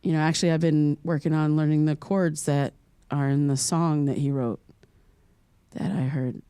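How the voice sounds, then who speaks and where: An actress playing a wry, self-deprecating podcaster who mutters halting asides as she muses and reads aloud alone in a naturalistic audio drama. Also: sits low, fairly even intonation, dark tone, clear, resonant tone, no room sound, lightly acted. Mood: wry, reflective.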